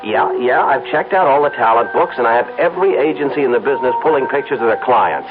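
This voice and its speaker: An actor playing a middle-aged American advertising executive and husband in a radio drama, flustered, defensive and given to stammering. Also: male voice, medium pitch, animated, quick pace, music in the background, lightly acted. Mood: urgent, businesslike. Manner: flustered, stammering, swooping emphasis. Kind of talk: old-time radio drama acting. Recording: thin, noisy phone-like old radio broadcast.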